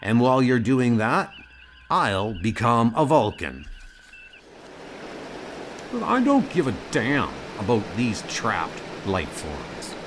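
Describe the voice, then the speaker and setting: Defiant male colony leader played by a man, arrogant and combative, animated intonation. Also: mid-range voice, a little hiss or hum. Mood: scornful. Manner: combative, scornful.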